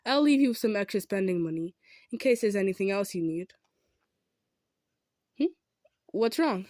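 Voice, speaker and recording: A woman playing a kind, modest female centaur in an improvised roleplay, speaking gently and earnestly with some stammering. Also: mid-range voice, lively intonation, moderate pace, dry recording, studio quality, natural voice. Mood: touched, sincere. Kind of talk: improvised roleplay audio drama, addressed to listener.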